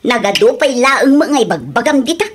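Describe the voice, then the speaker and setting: An actress playing a stubborn, defensive spendthrift wife in a radio drama, with an Ilocano accent and an exclamatory, whining delivery. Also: female voice, a little high, big pitch swings, normal speed, breathy edge. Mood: breezy, insistent. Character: spendthrift wife who likes expensive things, stubborn, defensive.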